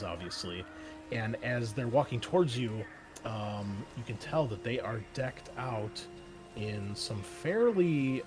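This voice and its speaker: Man, game master, relaxed tabletop narration, lots of fillers. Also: medium pitch. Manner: casual, many fillers.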